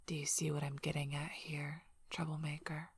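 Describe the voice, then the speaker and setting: A woman playing a protective, threatening female werewolf pack leader in an ASMR roleplay, speaking in a suspicious, menacing, breathy near-whisper. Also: deep voice, flat delivery, moderate pace, no room sound, heavily acted. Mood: accusing, skeptical.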